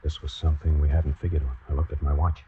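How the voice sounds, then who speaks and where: An American actor playing a lovesick, nervous, reluctant killer in a 1940s-style radio suspense drama, narrating in the first person and halting under pressure. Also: male voice, a bass voice, little pitch movement, mellow. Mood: tense narration. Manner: halting, hesitant, stammering when pressed. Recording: muffled, noisy old radio recording.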